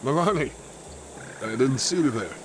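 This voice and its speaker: A man's voice: mid-range voice, expressive, normal speed, slight background noise, lightly acted. An actor playing a brash, loyal young male warrior in a fantasy game, with a cocky, informal, bantering manner. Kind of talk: scripted fantasy game acting.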